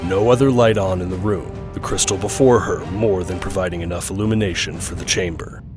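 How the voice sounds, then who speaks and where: A man's voice: deep voice, brisk. A man reading scripted narration for an audio drama. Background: music.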